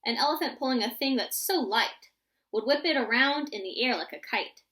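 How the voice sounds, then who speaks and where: Woman reading as bright, imaginative young storybook boy. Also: on the high side, expressive, normal speed. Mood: musing, excited. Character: imaginative young boy, excitable, inventing tall tales.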